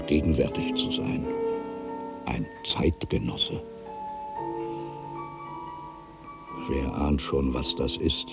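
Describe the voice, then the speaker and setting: Casting: aging writer, extremely low voice, brooding and theatrical, literary audio monologue over clearly present music. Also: male voice, heavily acted. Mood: weary, hushed. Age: older. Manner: theatrical, introspective, swinging between hushed and emphatic delivery. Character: aging male writer, world-weary, anxious, brooding, given to grandiose fancies.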